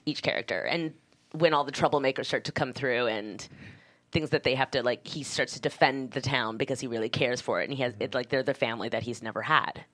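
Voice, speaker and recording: A woman from Canada speaking as a screenwriter in a casual panel interview, thinking aloud in an informal, halting way. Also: rumbling low, fairly bright tone. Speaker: screenwriter, guest.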